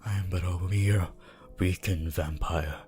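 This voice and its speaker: A man playing a weakened, bloodthirsty vampire in an ASMR roleplay, pleading and menacing in a theatrical, archaic way, with a husky voice that often drops to a whisper. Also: deep voice, steady tone, a little mellow, soft background music. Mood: weak pleading. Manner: slow, halting, archaic and dramatic delivery.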